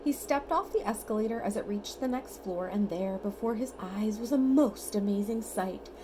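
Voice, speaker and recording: A woman reading a bedtime storybook aloud in an expressive, child-directed way, on an amateur home recording. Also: medium pitch, normal speed, background noise. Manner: animated, child-directed, asks the child simple questions.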